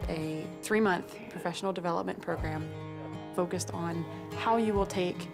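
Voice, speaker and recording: A woman's voice: lowish voice, moderate pace, over music. A woman explaining a professional training program in an informative way during a documentary interview.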